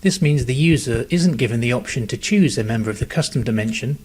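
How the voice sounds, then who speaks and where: Slightly husky male software tutorial narrator. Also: mid-range voice, expressive. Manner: measured, step-by-step explaining. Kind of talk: scripted instructional narration.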